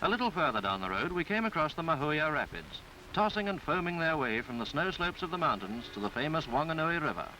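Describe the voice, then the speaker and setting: A man reading a scripted 1950s newsreel travelogue in a formal, florid, measured way. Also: a little high, very animated, pushed and bright, a little hiss or hum, poor audio. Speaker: newsreel narrator. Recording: 1950s film soundtrack with background music. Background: music.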